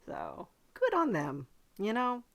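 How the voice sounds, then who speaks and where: A woman hosting a podcast in casual chat, with a dry, playful deadpan humour. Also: medium pitch, slow, vocal fry. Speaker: podcast host.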